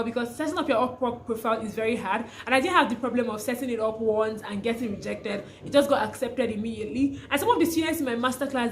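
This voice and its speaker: A woman's voice: on the high side, a little hiss or hum. A young Nigerian woman with a Nigerian accent, talking casually to camera in a vlog, often restarting her sentences. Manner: chatty, restarts often, laughs through stories. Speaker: vlogger, freelancer.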